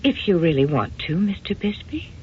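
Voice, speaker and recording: A woman's voice: fairly low pitch, big pitch swings, normal speed, darkish tone. An actress in a radio drama playing a meek, dutiful secretary in her forties, hesitant and deferential. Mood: timid, deferential. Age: 40s. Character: meek, dutiful middle-aged secretary, newly married. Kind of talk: old-time radio drama acting. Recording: muffled, noisy old radio recording.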